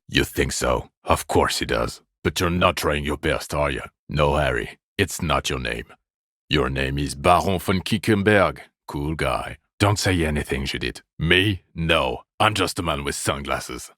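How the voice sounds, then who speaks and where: A jaded video game police detective with a very deep voice, recorded close and dry. He is sardonic and exasperated, delivering his foul-mouthed scorn with biting sarcasm. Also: a little slow, sharp tone, no room sound, full character voice. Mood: exasperated, scornful. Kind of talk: video game character voice acting.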